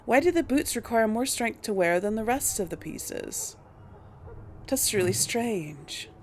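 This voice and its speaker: A woman's voice: a little high, lively intonation, unhurried, slight background noise. A woman hosting a gaming video, giving casual playthrough commentary and musing aloud hesitantly.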